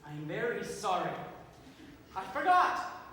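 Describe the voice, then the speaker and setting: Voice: mid-range voice, big pitch swings, a little slow. An actor on stage playing a bitter, wounded young playwright in a dramatic, sarcastic manner. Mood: bitter, hurt. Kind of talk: theatrical stage acting. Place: theatre stage. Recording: distant, noisy, echoey theatre recording.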